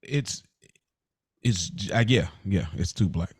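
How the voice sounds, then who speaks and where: A man's voice: low voice, slow pace, slightly rough, dry recording, clean studio sound. A man co-hosting a podcast in casual conversation, speaking earnestly and emphatically, often repeating himself. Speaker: podcast co-host.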